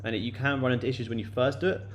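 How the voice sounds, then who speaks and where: A man's voice: medium pitch, steady tone, racing, lo-fi sound. A man in his late twenties chatting loosely to viewers on a live stream, with many fillers and repeated words. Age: late 20s. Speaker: day-trading livestream host. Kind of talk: casual live-stream chat answering viewers.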